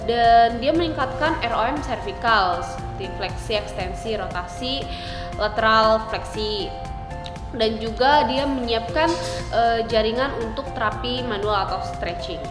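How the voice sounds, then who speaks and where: A young woman gives a halting, instructional clinical demonstration, explaining steps to a patient and viewers. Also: on the high side. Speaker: physiotherapy student demonstrating a treatment. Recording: very echoey room, music behind.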